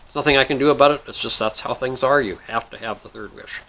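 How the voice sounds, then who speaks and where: A man's voice: medium pitch, fast, bright, forceful tone. A man voicing a weary, formal genie in a told joke, speaking in a stately and grudging way. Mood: businesslike.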